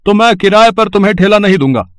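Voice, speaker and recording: A man performs a stern cart-rental trader in a cartoon story. His voice is high for a man and very dry in sound. He speaks bluntly, in a warning way. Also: expressive, fast talker, a bit bright, dry recording.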